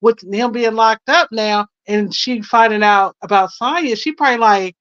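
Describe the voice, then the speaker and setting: A woman's voice: on the high side, normal speed, fairly bright tone, dry recording. Insistent American woman, muffled lo-fi sound. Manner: insistent, colloquial, restates her point. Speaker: panelist on a live stream. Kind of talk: casual debate. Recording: dull lo-fi stream audio.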